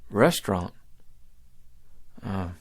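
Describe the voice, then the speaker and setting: Halting, bewildered frontier pioneer. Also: male voice, deep voice, lively intonation, heavily acted. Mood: agitated, confused. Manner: halting, stammering, folksy old-fashioned speech. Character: bewildered, courteous 1840s pioneer man, weary and anxious. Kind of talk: radio drama acting.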